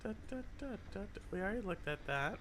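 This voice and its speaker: A streamer with a raspy, congested voice, chatting with viewers and muttering aloud while playing a game live. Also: medium pitch, lively intonation, fast, fairly bright tone, slight background noise. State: congested from a cold. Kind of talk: live gameplay stream with chat.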